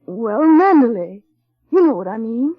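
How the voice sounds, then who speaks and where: Shy young woman, high breathy voice. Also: sing-song, measured pace, no room sound, heavily acted. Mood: bewildered, timid. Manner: hesitant, breathless, self-effacing. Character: shy, earnest, self-doubting young lady's companion in love. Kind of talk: 1930s radio drama acting. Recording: muffled, lo-fi vintage radio recording.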